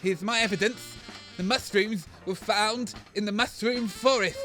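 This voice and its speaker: A man's voice: high-pitched, measured pace, sharp tone, soft background music. A man playing a self-important, argumentative environmentalist in a comedy audio sketch. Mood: pompous. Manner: pompous, argumentative.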